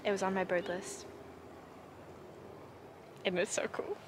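A woman's voice: medium pitch, fairly even intonation, measured pace, noisy background. A young woman in her twenties chatting casually to a handheld camera outdoors, eager about birds and bats. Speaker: travel vlogger. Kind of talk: casual travel vlog. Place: outdoors in hot bush and at a cave site. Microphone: camera held at arm's length.

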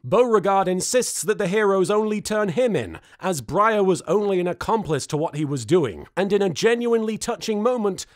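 High-pitched, animated, sarcastic man. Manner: sarcastic, exasperated, sweary. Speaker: host of an anime recap series. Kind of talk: comedic recap and reaction commentary.

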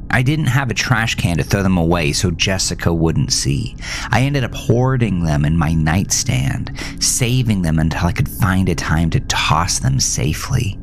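A man narrating a first-person horror story for a podcast, with dramatic pauses and whispery emphasis. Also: low voice, a little hiss or hum.

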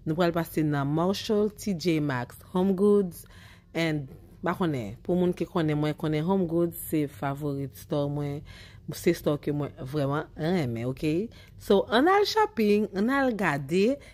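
Low, mellow-voiced woman vlogger. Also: lively intonation, slow pace. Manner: chatty, keeps asking "ok?". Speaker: home decor vlogger. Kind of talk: casual shopping vlog chat. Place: home living room and decor stores.

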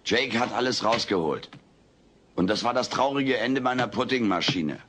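A cheeky little alien troublemaker played by a man, brash and wisecracking, with a bright, punchy voice that jumps all over its range. He is showy and theatrical, in a sitcom audio play on noisy old cassette. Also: mid-range voice, animated, normal speed, natural voice. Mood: insistent. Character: brash wisecracking male alien creature, mischievous and childlike. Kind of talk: German-dubbed sitcom audio play acting.

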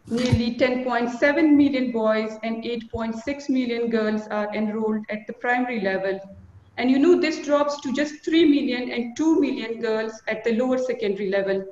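A woman in her forties with a Pakistani accent, giving a measured conference talk full of figures from a small office, with fillers between numbers. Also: a little high, a little mellow. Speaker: conference panelist. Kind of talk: formal presentation with statistics. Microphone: video call webcam. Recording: echoey lo-fi video call.

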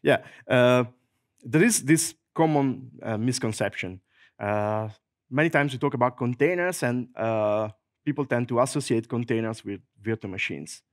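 A man's voice: medium pitch, normal speed, no room sound, studio quality. Italian-accented man speaking at a conference. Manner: lively, fillers like "uh", jokes and laughs. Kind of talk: technical conference talk. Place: large conference hall with audience. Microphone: handheld microphone.

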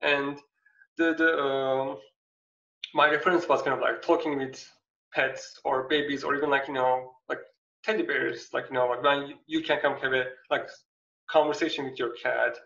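Turkish-accented man, gravelly, on a roomy call. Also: medium pitch, little pitch movement, fairly bright tone. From Turkey. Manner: halting, heavy on fillers like "like, you know". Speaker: visiting artist lecturer. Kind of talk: casual presentation over video call. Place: small room at home. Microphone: laptop webcam mic on video call. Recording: roomy, distant, dull lo-fi video call audio.

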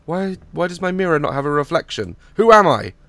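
Young man, hoarse, gravelly, animated pitch, nervous horror-game banter, headset mic. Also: brisk. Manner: jumpy, swearing, nervous joking. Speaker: gaming YouTuber. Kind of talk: horror game let's play commentary.

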